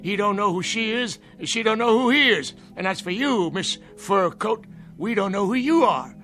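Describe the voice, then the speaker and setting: A mischievous old codger in a radio play. The voice is high and sharp, with a folksy, mocking delivery and faint music underneath. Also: male voice, lively intonation, moderate pace. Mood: gleeful mocking. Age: older. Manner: folksy, mocking, laughs often. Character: gleeful, cackling old male troublemaker heckling everyone.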